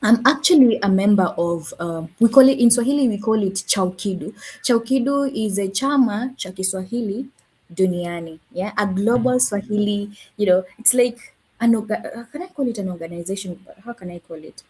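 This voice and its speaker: A woman's voice: medium pitch, normal speed, a little mellow, husky, rough recording. A woman language educator answering questions as the guest of a live online interview, hesitant with many fillers and self-corrections.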